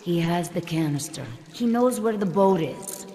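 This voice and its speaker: An actress playing a tough, embittered smuggler with a conscience in a video game, grave and intense. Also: female voice, on the low side, animated, measured pace, mellow, slight background noise. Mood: bitter, resigned. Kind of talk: dramatic video game acting. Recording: long hall reverb.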